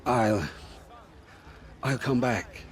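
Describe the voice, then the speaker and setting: A man playing a flustered, evasive scavenger in a video game, talking hesitantly and haltingly. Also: medium pitch. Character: evasive, flustered male scavenger and expedition guide. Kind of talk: video game dialogue acting.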